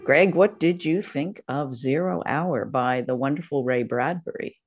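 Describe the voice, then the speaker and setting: Woman, creaky voice, casual podcast host, chatty review style. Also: extremely low pitch, measured pace, over loud music. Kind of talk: casual discussion of radio drama.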